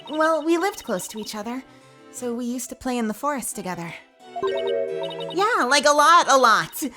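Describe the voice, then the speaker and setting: A woman voicing a cheerful, excitable young woman lawyer in a chatty game reading. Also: sits high, moderate pace, faint music behind, pitched above the performer's own voice.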